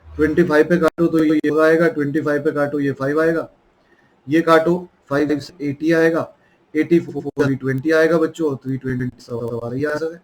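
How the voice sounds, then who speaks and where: A man's voice: on the high side, level tone, moderate pace, poor audio. Indian male math teacher, sore throat. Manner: coaxing, addresses students as children. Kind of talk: online math lesson.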